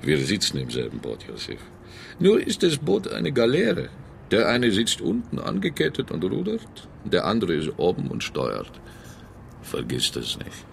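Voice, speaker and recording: An actor playing a cynical gangster blackmailer in a German radio drama, taunting and smugly sarcastic with drawn-out menace. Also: male voice, fairly low pitch, huge pitch swings, gravelly, heavily acted. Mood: smug, threatening.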